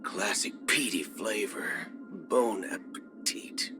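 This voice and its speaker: An actor playing a cocky, folksy cyborg cowboy outlaw in a video game, with a Southern American drawl. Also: male voice, lowish voice, slow pace. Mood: jovial. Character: swaggering cyborg cowboy gunslinger outlaw, adult male. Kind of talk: video game character voice lines. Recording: music under the voice. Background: background music.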